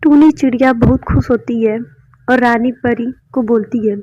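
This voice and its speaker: A woman's voice: on the high side, steady tone, moderate pace, mellow, slight background noise. A woman narrating scripted children's stories, with muffled, dull sound.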